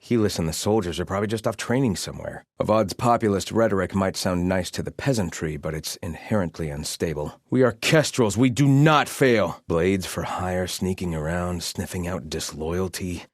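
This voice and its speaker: Low-voiced, stern, devout soldier. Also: male voice, moderate pace, hardly any pauses, no echo, studio quality. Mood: stern, menacing. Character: wary, devout male soldier of a sun-worshipping army. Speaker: video game voice actor. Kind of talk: scripted video game voice lines.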